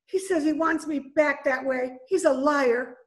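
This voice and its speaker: Woman playing high-pitched, frightened gangster's moll. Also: fairly even intonation, unhurried. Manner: breathless pleading, slangy "gee" and "ya". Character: frightened young gangster's moll, pleading and streetwise. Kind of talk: old-time radio play acting. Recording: home video-call recording.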